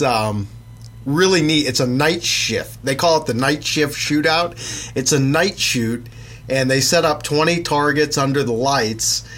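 A man's voice: on the high side, fairly bright tone. Man, middle-aged, stuffy nose from a summer cold, casual podcast host, rambling and chatty. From Pennsylvania, USA. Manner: rambling, chatty, with many fillers. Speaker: podcast host and magazine editor.

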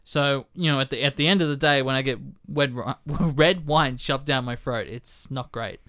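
A man's voice: medium pitch, normal speed, fairly bright tone. A young man co-hosting a film podcast who talks in a chatty, rambling way and often restarts his sentences. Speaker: podcast co-host. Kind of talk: casual film podcast chat.

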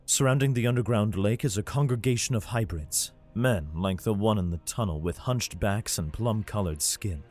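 Casting: protective middle-aged father and narrator male actor, weary, subdued, low register, sci-fi audio drama. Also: moderate pace, hardly any pauses, a little mellow, faint music behind, natural voice. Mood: grave narration. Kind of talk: audio drama first-person narration and dialogue.

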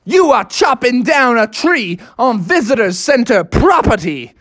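A stern storybook police officer, acted out by a storyteller, indignant and accusing. Words are stretched and pushed loud, with the pitch climbing in animated swoops. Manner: emphatic, drawn-out, accusing.

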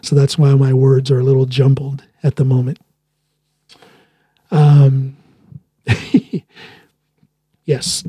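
A man's voice: medium pitch, monotone, slow pace, pauses often, mellow, breathy edge, no room sound, clean studio sound. A man hosting a solo podcast, talking earnestly in a loose monologue and often restarting his sentences. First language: English. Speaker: podcast host.

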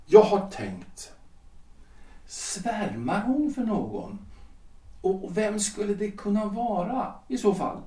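An actor playing an anxious, self-important lawyer husband in his forties in a period audio drama, halting and repeating himself. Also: high-pitched, big pitch swings, dark tone, far from the mic. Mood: hesitant, confessional. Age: 40s. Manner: hesitant, halting, repeating words and phrases. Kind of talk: period stage-play audio drama acting. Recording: roomy echo, off the mic.

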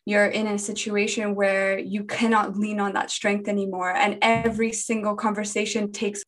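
A woman's voice: mid-range voice, flat delivery, normal speed. A young woman from Indonesia, a medical trainee, talking earnestly and fluently about her experiences in an interview. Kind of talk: personal interview talk.

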